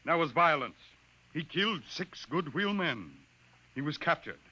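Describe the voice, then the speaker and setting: Solemn alien chief, piercingly clear. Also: male voice, a little high, expressive, bright, forceful tone, heavily acted, rough recording. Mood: solemn, proud. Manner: formal, measured, ceremonious. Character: solemn, proud alien chief of a potters' tribe, male. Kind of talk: 1950s radio drama acting.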